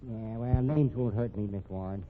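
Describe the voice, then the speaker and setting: A man's voice: fairly low pitch, moderate pace, natural voice. An actor playing a nosy, crafty old snooper in a radio mystery, folksy and grudging, repeating his phrases for emphasis. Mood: accusing. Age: older. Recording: dull, faintly noisy old radio recording.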